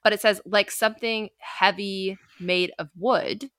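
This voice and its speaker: Chatty woman with a bright, dry voice. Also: medium pitch, unhurried, no room sound. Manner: chatty and animated, with filler words and playful sound effects. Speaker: podcast host. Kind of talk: casual storytelling chat.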